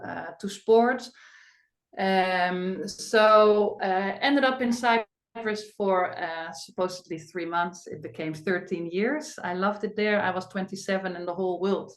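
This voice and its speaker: Chatty Dutch-accented woman in an echoey room. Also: medium pitch. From Netherlands. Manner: chatty, with frequent fillers and non-native phrasing. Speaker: family doctor hosting a webinar. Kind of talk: casual webinar talk.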